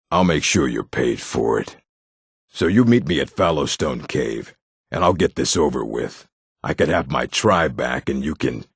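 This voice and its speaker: An actor playing a proud, weary orc chieftain in a fantasy video game, with a deep, rough voice and a terse, scornful, commanding manner. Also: male voice, dry recording, studio quality, full character voice.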